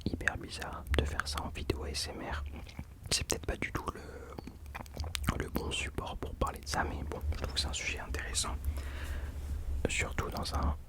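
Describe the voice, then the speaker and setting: A young man whispering casual ASMR commentary as a host, hesitantly searching for his words. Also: normal speed.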